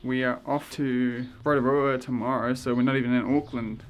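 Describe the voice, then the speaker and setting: A man's voice: medium pitch, flat delivery, normal speed. A young man chatting casually with slang and the odd swear, filming himself with a handheld camera. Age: young adult. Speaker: travel vlogger. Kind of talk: casual travel vlog. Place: outdoors on a beach and trails, then a small room indoors. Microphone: handheld camera at arm's length. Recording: noisy handheld outdoor audio.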